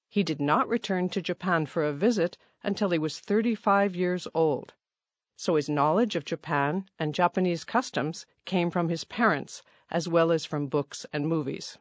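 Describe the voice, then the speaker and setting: A woman reads a listening-exam passage in a slow, careful, even delivery. The sound is dry and close, with no room around it. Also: lowish voice, no room sound. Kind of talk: scripted narration.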